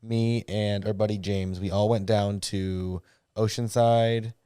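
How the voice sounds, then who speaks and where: Host, man, hoarse, low-ish, rambling storyteller, dry close mic. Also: little pitch movement, takes it slowly, mellow, no room sound. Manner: rambling, self-correcting storyteller. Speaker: podcast host, board shop owner. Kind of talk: casual podcast chat.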